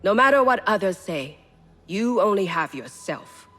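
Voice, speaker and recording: A woman's voice: mid-range voice, very animated, a little slow, a bit bright. A proud, refined woman of wealth in a video game drama. She speaks with poised, imperious deliberation and a ruthless edge, over faint music. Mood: proud, steely. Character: proud, refined, ruthless self-made female treasurer.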